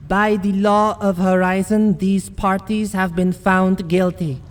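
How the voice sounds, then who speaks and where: A woman's voice: medium pitch, level tone, unhurried, close to an everyday voice. A woman playing a kind, wry bounty hunter mage in a fantasy ASMR audio roleplay. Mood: solemn, commanding.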